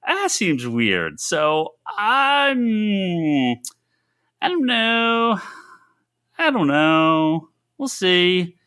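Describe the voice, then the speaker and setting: Animated, halting man on a casual podcast. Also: high voice, clean tone. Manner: halting, repeats words, mimics own reactions in mock quotes. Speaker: podcast co-host. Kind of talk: casual comics discussion.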